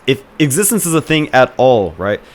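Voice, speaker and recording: A combative man in a voice-chat debate, pushing his point and firing crude insults. His voice sits at an ordinary middle pitch, with a faint hiss of noise under it. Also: big pitch swings, normal speed. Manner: argumentative, crude trash-talking.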